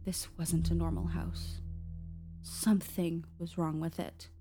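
A woman's voice: fairly low pitch, little pitch movement, a little slow, natural voice. A woman playing an uneasy adult daughter who narrates a family mystery in a radio play, confiding and ominous. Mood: ominous, reflective. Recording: loud music under the voice.

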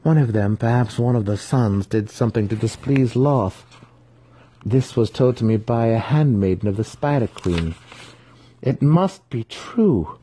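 A man voicing a scheming, commanding female dark elf house ruler in a fantasy story. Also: mid-range voice, animated, unhurried, a little hiss or hum, pitched above the performer's own voice, close to an everyday voice. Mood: excited, calmer. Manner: commanding, snarling.

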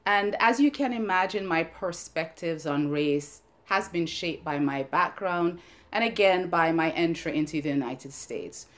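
A middle-aged woman from Jamaica with a Jamaican accent, giving an earnest, measured personal talk as a podcast host and panelist. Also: fairly low pitch. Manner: earnest, measured, with some emotion and restarts. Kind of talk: prepared personal talk on race.

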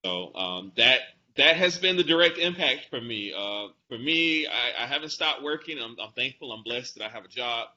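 Guy in his 40s, really bright forceful voice, chatting as interview guest, bit muffled with faint noise. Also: mid-range voice, animated, normal speed, hardly any pauses. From Atlanta, Georgia, United States. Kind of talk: conversational interview answers.

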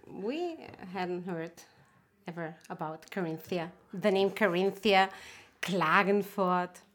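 A woman from Catalonia, Spain, speaking as an interview guest in a casual, hesitant way, with many fillers and soft trailing phrases. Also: lowish voice, slow pace. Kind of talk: casual conversation.

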